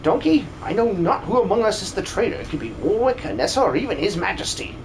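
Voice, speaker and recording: A man's voice: high voice, big pitch swings, fast, slight background noise. A man voicing a pompous, gluttonous old soldier with theatrical, old-fashioned exclamations in a video game fan dub. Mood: indignant, alarmed. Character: blustery gluttonous old bearded soldier, paranoid about spies.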